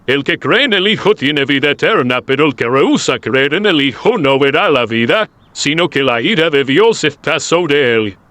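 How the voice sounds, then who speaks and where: A man's voice: fairly high pitch, animated, normal speed, few pauses, fairly bright tone, no echo. A man playing an earnest, humble prophet in a dramatized scripture audiobook, speaking in a solemn, declamatory way. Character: John the Baptist, earnest humble male prophet.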